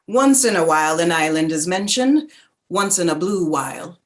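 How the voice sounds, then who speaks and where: Lower-pitched woman reading lyrical prose dramatically. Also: lively intonation, moderate pace. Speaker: narrator in a staged reading.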